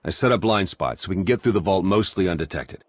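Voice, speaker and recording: A gritty agent hero in a video game. His voice is deep and husky with lots of movement in pitch, earnest and clipped, recorded dry. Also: expressive, quick pace, dry recording. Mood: grim resolve. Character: gritty, determined male secret agent.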